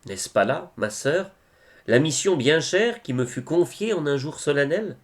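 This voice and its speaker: A man reciting devotional poetry in a solemn style, with measured, expressive phrasing and pauses. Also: fairly high pitch.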